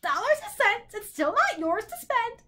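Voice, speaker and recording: Lady doing a screechy cackly nasty storybook bird, snooty, super high and sharp. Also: big pitch swings, moderate pace, full character voice. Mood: shrieking, haughty. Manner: shrieking, cackling, interrupting. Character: unkempt malicious male bird, haughty wordplay-loving nuisance.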